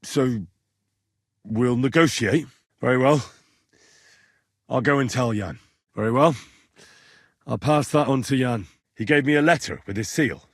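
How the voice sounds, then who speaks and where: An actor playing an earnest, blunt young medieval squire in a video game, plain-spoken and a little husky. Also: male voice, mid-range voice, unhurried, pauses often, no room sound, clean studio sound. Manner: plain-spoken, polite to nobles, bluntly challenging when provoked. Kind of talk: video game dialogue acting.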